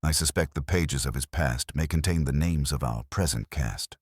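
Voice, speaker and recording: A man voicing a shrewd, commanding Victorian detective in an audiobook, deliberate, probing and terse. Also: extremely low pitch, husky, dry recording, clean studio sound. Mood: grave, deliberate. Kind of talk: audiobook character dialogue.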